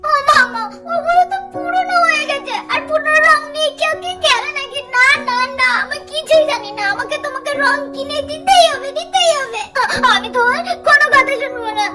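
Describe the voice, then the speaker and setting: Casting: eager, pleading little doll girl, extremely high childish voice, playful and stubborn, cartoon story, music behind. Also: a little fast. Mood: pleading, insistent. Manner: childish, wheedling, repeats words.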